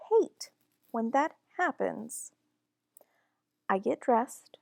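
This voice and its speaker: A woman reads a children's story aloud at a very unhurried pace. Her voice sits fairly high and carries strong creak. The delivery is deliberate and expressive, recorded close and dry. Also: dry recording. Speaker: storybook reader. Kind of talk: children's picture book read-aloud.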